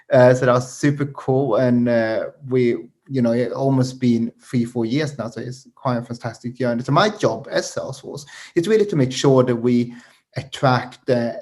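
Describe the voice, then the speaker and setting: A man's voice: medium pitch, darkish tone. An upbeat man introducing himself on a video call, often repeating words and saying "uh". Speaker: early-careers program manager. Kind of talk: webinar introduction.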